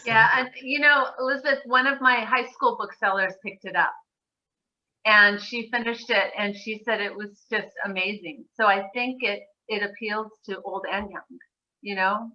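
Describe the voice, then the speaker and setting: A woman's voice: mid-range voice, fairly even intonation, normal speed, bright, forceful tone, lo-fi sound. A woman bookseller co-hosting an event, asking a guest author thoughtful questions over a video call. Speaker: bookseller co-host.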